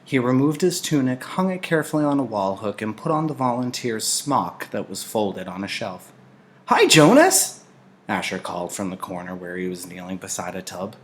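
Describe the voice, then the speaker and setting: A man reading a novel aloud in an expressive narrating style. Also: on the low side, fairly quick, hardly any pauses.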